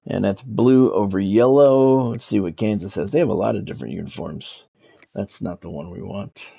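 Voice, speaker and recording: A man's voice: on the low side, normal speed, gravelly, breathy edge. An American man chatting casually and doing sports commentary as a game streamer, informal and wry with a self-deprecating streak. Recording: dull, lo-fi microphone sound.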